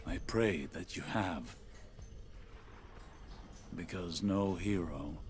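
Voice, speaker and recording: An actor playing a grave, world-weary warrior prophet in a video game cutscene, speaking in a solemn, deliberate, ominous way. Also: male voice, fairly low pitch.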